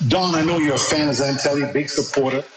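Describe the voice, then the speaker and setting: A man's voice: fairly high pitch, quick pace, loud music behind the voice, poor audio. A man hosting a radio show, asking a guest leading, conversational questions. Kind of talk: radio interview.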